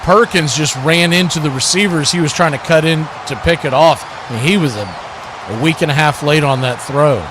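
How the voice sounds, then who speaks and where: A man doing live play-by-play football commentary for a stream, in clipped phrases that grow excited on big plays. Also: a little high, fairly quick, background noise.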